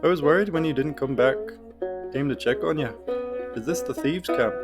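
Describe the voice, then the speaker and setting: A man's voice: a little high, normal speed, loud music behind the voice, pitched above the performer's own voice, close to an everyday voice. A man voicing a concerned, teasing and talkative travelling companion in a fantasy story. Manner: chatty, teasing, insistent.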